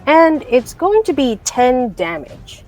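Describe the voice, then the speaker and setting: A woman's voice: high-pitched, sing-song, slow, a little mellow. A woman playing a tabletop game, describing what her character does. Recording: faint music bed under voice. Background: faint music.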